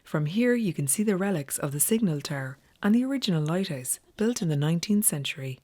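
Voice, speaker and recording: A woman's voice: lowish voice, lively intonation, a little fast, darkish tone. A woman, narrating a travel documentary as its presenter.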